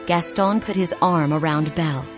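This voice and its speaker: A woman narrating a children's read-along storybook in a clear, expressive way. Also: low-pitched, normal speed. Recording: music playing behind.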